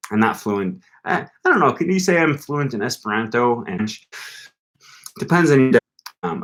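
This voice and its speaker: A young man from the United States hosting a casual live stream about language learning, reading viewer comments aloud and answering with lots of ums and restarts. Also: on the low side. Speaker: language-learning channel host. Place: home room.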